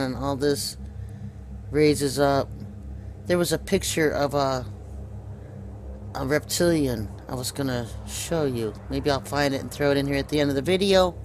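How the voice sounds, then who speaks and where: Higher-voiced man giving informal video commentary. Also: slight background noise. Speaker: video host. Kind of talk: informal conspiracy commentary over pictures.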